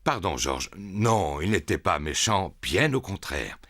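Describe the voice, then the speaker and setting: A man's voice: sits low, lively intonation, a little slow, fairly bright tone, heavily acted. A man voicing a good-natured, boastful daddy pig in a French children's cartoon, blustering and a little hesitant. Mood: jovial storytelling. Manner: blustering, hesitant when caught out.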